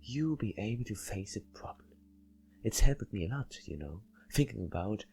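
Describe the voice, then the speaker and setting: Supportive but insistent woman friend, acted by a man, lifted pitch, a little husky, visual-novel dialogue. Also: lively intonation, moderate pace, warm tone, exaggerated voice. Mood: earnest. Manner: earnest, coaxing. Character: caring, insistent female friend, gently coaxing.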